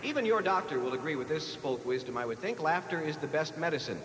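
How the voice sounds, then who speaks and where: A man's voice: a little high, animated, fast talker, fairly bright tone. A man with an American accent hosting a live quiz show, reading out questions to the players. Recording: echoey hall, noisy old TV broadcast.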